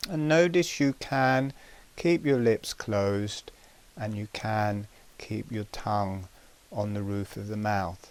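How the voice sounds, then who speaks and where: Slow, low-voiced man guiding breathing. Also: smooth. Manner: measured, with pauses between short phrases; calm, gentle. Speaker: breathing and relaxation instructor. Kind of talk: guided breathing exercise instruction.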